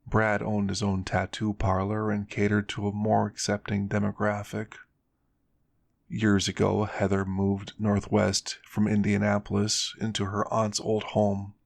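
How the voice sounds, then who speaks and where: Low, gravelly man reading a story. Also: no echo. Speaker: narrator of an ASMR roleplay story. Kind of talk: scripted ASMR story narration.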